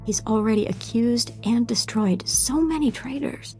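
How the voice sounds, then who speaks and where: An actress playing an eager, zealous young Sith apprentice in a video game, intense and articulate. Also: female voice, a little high, a little slow, dark tone, faint music behind, lightly acted. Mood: eager, fervent. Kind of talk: video game dialogue acting.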